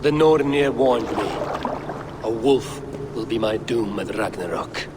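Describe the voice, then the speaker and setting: A man's voice: mid-range voice, a little slow, very noisy, close to an everyday voice. An actor playing a stern, suspicious Norse god king in a video game, with commanding, formal, archaic phrasing. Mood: grim. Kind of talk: dramatic game cutscene acting.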